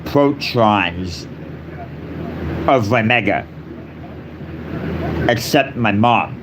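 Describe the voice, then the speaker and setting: Guy doing a solemn off the cuff speech, super slow n halting, repeats himself, noticeable hum in the background. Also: lowish voice, lively intonation. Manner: halting, short phrases with long pauses, repetitive. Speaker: speaker giving a personal address. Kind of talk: solemn improvised monologue.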